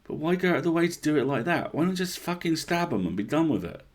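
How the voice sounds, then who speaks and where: A man's voice: fairly high pitch, very animated, fast talker, pitched above the performer's own voice. A man voicing a blunt, rattled park ranger in a horror story, confiding and swearing in an incredulous, conversational way.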